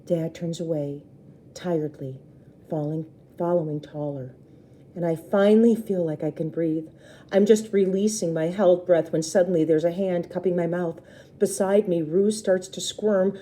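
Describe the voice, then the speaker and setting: A woman's voice: lowish voice, steady tone, a little slow, warm tone. A woman storyteller reading a children's book aloud expressively, with brief explanatory asides to listeners.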